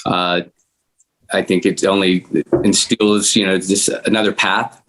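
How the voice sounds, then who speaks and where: Brief: male guest, very low-pitched, offering appreciative, loosely rambling encouragement. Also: flat delivery, a little slow, fairly bright tone, rough recording. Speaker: guest actor from a table read. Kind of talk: informal appreciative remarks.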